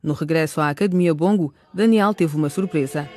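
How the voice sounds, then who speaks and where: A woman giving scripted narration for a radio drama, recapping the story in a clear storytelling manner. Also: deep voice, fairly quick, warm tone.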